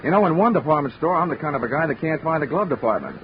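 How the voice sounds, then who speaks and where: An actor playing a wry, flirtatious insurance investigator with deadpan delivery in 1940s radio detective drama. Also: male voice, a little high, racing, slight background noise, natural voice. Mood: flirtatious, bantering. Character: wisecracking flirtatious male freelance insurance investigator. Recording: dull vintage radio recording with music behind.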